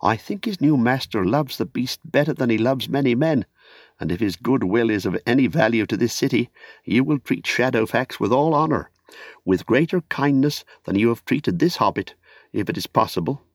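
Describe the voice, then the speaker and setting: A man's voice: medium pitch, lively intonation, normal speed, dry recording, clean studio sound, pitched above the performer's own voice. A man voicing a small young hobbit in a storybook, courteous, earnest and eager, with formal old-fashioned phrasing. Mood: polite, earnest. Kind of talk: audiobook character acting.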